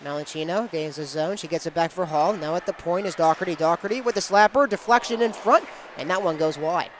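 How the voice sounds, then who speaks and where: A high-pitched male sportscaster delivers live play-by-play in short, clipped phrases. He follows the action moment by moment, with some venue noise behind his voice. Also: a little fast.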